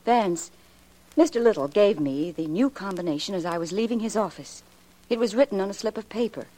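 An actress playing a stubborn, guarded young secretary, crisp and defensive, in a 1940s radio mystery. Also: female voice, mid-range voice, animated, brisk, warm tone, natural voice. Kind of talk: late 1940s radio drama acting. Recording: noisy old 1940s radio recording.

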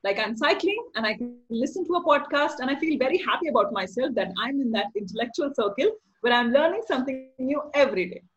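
A woman's voice: high-pitched, lively intonation, quick pace, hardly any pauses, muffled recording. A middle-aged woman with a slightly nasal voice, a voice-over artist talking casually as a podcast guest, instructive and playful. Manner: instructive, playful, laughs often. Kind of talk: casual interview.

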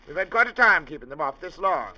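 Pompous judge, very sharp voice. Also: male voice, fairly high pitch, very animated, quick pace, full character voice. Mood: effusive, flattering. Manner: pompous, oratorical. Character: pompous, effusive, flattering male town judge. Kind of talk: 1950s radio drama acting. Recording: muffled, lightly noisy old radio recording.

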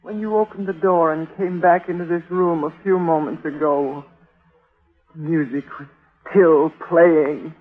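An actress playing a fragile, haunted young woman under a hypnotic spell in a 1940s radio mystery, melodramatic and breathy. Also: sits low, fairly even intonation, a little slow. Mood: pleading, anxious. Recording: tinny old radio recording with music.

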